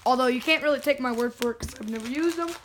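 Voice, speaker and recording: Hoarse young unboxing vlogger, high voice, casual and jokey, on a camera mic. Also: very fast, fairly bright tone, slight background noise. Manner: chatty, jokey, self-talking. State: hoarse, lost voice.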